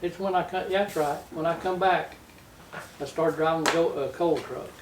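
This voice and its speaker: Older male, Southern US accent, folksy casual storyteller, slightly high voice, faint background noise. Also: fairly even intonation, moderate pace. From Tennessee, United States. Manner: folksy, plainspoken. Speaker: interview guest, retired-era trucker telling his life story. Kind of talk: casual reminiscing. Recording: roomy, distant mic with faint noise.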